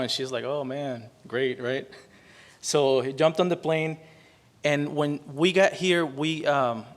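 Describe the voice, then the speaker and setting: A man in his forties from Puerto Rico with a Puerto Rican Spanish accent, a native Spanish speaker giving chatty opening remarks and often tagging his sentences with "right?". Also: a little high. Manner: chatty, frequent "right?" tags, self-deprecating. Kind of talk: casual storytelling speech.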